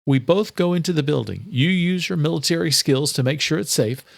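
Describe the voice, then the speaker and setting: Man as a strong-willed woman, pitched high. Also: lively intonation, fairly quick, vocal fry, no echo, clean studio sound. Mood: firm. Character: defiant, determined adult sister, a schoolteacher.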